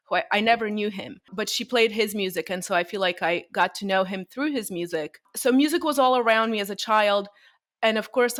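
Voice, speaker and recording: A Bulgarian-born woman speaks as a guest on an interview show. She tells stories about her career in a friendly, conversational way. Her talk is mixed with frequent small laughs. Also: mid-range voice. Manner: warm storytelling, laughs often. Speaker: violinist guest.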